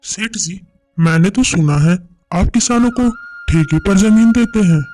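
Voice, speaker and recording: A man voicing a humble, hardworking village farmer in an animated moral story, speaking in an earnest, deferential way. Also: sits high, measured pace, soft background music. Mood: respectful. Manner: earnest, deferential to his master.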